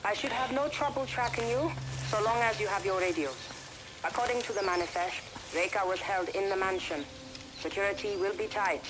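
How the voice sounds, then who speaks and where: An actress playing a calm, analytical mission adviser in a video game, speaking in measured, precise sentences. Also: female voice, medium pitch, noisy background, close to an everyday voice. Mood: measured caution. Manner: precise, measured, formal wording. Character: calm, analytical woman ally advising agents over radio. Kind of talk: video game cutscene acting.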